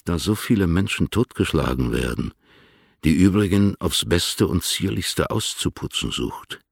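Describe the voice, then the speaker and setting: A cold ironic snob reading his letters in a radio play, super deep voice, husky, very dry sound. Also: dry recording, heavily acted. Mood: dry irony. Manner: ironic, measured, formal. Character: cool, sardonic, haughty male letter writer.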